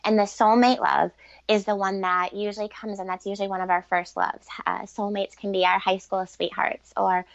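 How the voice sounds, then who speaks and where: Creaky-voiced woman, dull lo-fi recording. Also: mid-range voice, little pitch movement, normal speed, no room sound. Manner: earnest, reflective, informal. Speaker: interview guest, relationship author and coach. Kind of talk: conversational podcast interview answers. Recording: dull, lo-fi sound.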